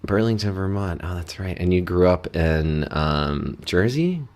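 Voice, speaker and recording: A man's voice: sits low, animated, measured pace, a little mellow. A man hosting an interview, asking questions in an encouraging way and often restarting them. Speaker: podcast host. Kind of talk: casual interview chat.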